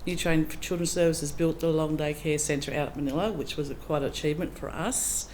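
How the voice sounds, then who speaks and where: A woman's voice: sits low, fairly even intonation, fairly quick, a little mellow. A middle-aged woman speaks as a council candidate at a public meeting. Her delivery is plain and chatty, with plenty of ums. A faint hiss runs under her voice. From Australia. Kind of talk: candidate speech at a public forum.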